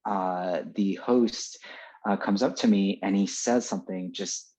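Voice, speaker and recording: Low husky American guy, lo-fi. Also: a little slow, a little mellow. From Los Angeles, USA. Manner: chatty, many fillers, laughs often. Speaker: podcast guest. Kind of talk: casual storytelling. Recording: dull lo-fi sound.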